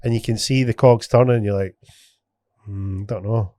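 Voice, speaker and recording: A man's voice: fairly low pitch, steady tone, normal speed, warm tone, no room sound. A man with a Scottish accent hosting a casual podcast, rambling with lots of restarts, swearing and bantering. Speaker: podcast host.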